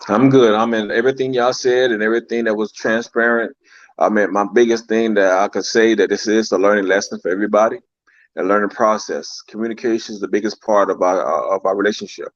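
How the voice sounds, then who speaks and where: A man joining a group discussion as a panelist, speaking calmly and briefly in agreement. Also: on the low side, quick pace, no room sound. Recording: dull, dry, lo-fi sound.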